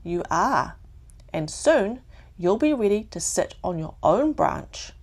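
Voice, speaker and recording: A woman voicing a gentle, patient mother koala in a bedtime story, speaking softly and reassuringly. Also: mid-range voice, very animated, takes it slowly, breathy edge, heavily acted. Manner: soft, reassuring.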